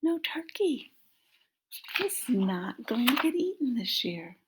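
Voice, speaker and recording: A woman's voice: fairly high pitch, sing-song, slow pace, slightly rough. A woman in her fifties reading a picture book aloud to young children, prompting them with questions, recorded on a laptop in a small room at home. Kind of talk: interactive children's story read-aloud. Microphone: laptop microphone at arm's length.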